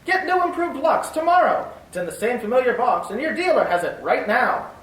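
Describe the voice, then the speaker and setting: A woman's voice: fairly high pitch, big pitch swings, fairly quick. Lilting woman announcer, old broadcast. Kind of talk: 1940s radio commercial. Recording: distant, roomy old broadcast with hiss.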